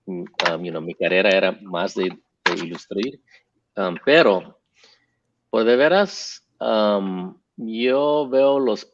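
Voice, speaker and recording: A man on an illustrators' panel, talking in a halting way and slipping English fillers into his Spanish. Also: on the low side, highs cut off. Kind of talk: casual panel chat.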